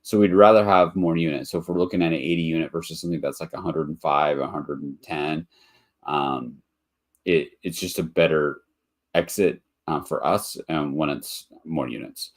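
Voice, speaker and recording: A man's voice: a bass voice, moderate pace. A man hosting a live webinar in a casual, rambling way, a bit nasal and congested from allergies, with lots of ums and restarts. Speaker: webinar host, motel investor.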